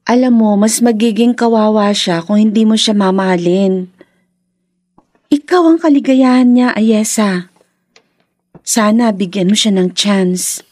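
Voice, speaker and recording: A woman's voice: mid-range voice, a little slow, long pauses, a little mellow, lightly acted. An actress playing a supportive, teasing young woman, a playful best friend in a radio drama. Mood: encouraging. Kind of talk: Filipino radio drama acting.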